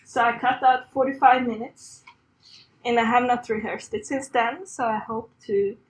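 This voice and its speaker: A woman's voice: on the high side, fairly even intonation, normal speed, slight background noise, low-quality recording. A woman in her thirties from Sweden with a Swedish accent, giving a chatty, self-deprecating conference talk with frequent laughs. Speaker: conference speaker. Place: conference room on a stage.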